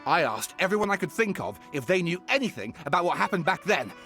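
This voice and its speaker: An excitable male writer from a video game. The voice is high and lively, with showy, theatrical emphasis, over light background music. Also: quick pace, heavily acted. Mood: eager. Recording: music behind the voice.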